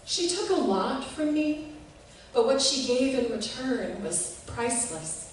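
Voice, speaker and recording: A woman giving an expressive, measured reading of a literary piece, recorded in a reverberant room from a distance. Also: sits high. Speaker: reader of a short literary piece. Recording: echoey room, distant mic, faint noise.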